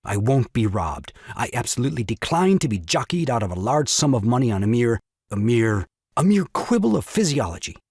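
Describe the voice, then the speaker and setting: A man's voice: medium pitch, sing-song, brisk, a little mellow, slightly rough, dry recording, studio quality. An actor playing an indignant young father and lawyer in a stage farce, with pompous, emphatic, rhetorical speech. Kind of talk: stage farce audio drama acting.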